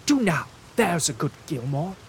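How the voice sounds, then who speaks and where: A man voicing a fussy, peevish, languid invalid gentleman who talks in an affected, whining way. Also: a little high, big pitch swings, normal speed, slight background noise. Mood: fretful.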